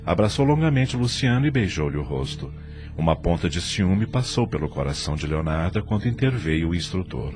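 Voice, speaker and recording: A man narrating an audio drama from a script in an expressive, literary storytelling manner. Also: deep voice, normal speed, music in the background.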